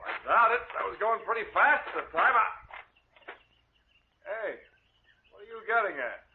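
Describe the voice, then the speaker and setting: An actor playing a breezy, callous young pilot with an American accent in a 1940s radio drama. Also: male voice, sits high, expressive, normal speed. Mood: defensive. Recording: noisy, narrow old radio recording.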